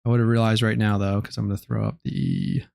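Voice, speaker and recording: Young male streamer, low gravelly voice, dry close mic, laid-back late-night chatter. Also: fast talker, no room sound. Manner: low-key, relaxed, rambling with many fillers. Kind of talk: casual live-stream chat while gaming.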